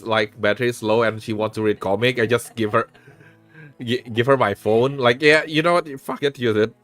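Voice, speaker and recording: A man's voice: mid-range voice, a bit bright. A man hosting a live stream, giving frank relationship advice in casual chat, swearing freely and restarting his sentences. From Indonesia. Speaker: streamer host.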